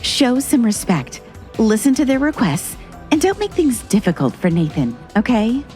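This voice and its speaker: An actress playing a bossy, controlling older sister who talks down to others in an audio drama. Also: female voice, a little high, sing-song, moderate pace, darkish tone, faint music behind. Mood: scolding. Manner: condescending and commanding.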